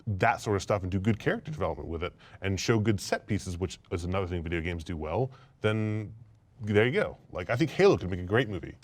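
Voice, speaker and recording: Man, lowish voice, rapid talker, halting restarts, casual discussion. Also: expressive. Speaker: panelist on a film and gaming talk show.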